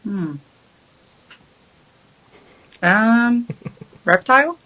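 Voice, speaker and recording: A woman, a guest answering questions thoughtfully, with many pauses and ums. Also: on the high side, expressive, pushed and bright, highs cut off. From Syria. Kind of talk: casual interview chat.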